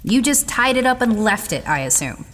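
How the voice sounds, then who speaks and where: Excitable, high-pitched young animal lover, Josephine. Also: female voice, moderate pace. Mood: accusing. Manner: eager, outspoken, scolding. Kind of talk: audio drama acting. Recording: echoey hall with some noise.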